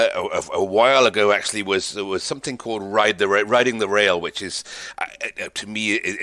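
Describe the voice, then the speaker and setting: A man with a lowish, bright, forceful voice hosts an interview at a quick pace. He is conversational and effusive, full of compliments for his guest. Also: no room sound. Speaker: podcast host, interviewer.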